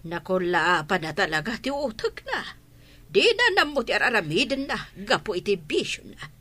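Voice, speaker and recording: A woman's voice: medium pitch, wildly swooping pitch, moderate pace, sharp tone, heavily acted. A woman with an Ilocano accent playing a worried, exasperated middle-aged mother of a grown son in a radio drama.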